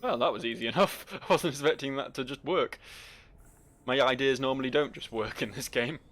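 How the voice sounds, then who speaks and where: A man's voice: medium pitch, fairly quick, a bit bright. A man playing a video game with casual, jokey, sweary commentary, reading in-game entries aloud theatrically. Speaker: video game let's-player.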